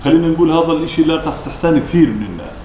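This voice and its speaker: Male host, Levantine Arabic accent, business interview, polite and effusive, quick pace, noisy background. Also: fairly high pitch, mellow. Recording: roomy, echoing, clearly noisy background.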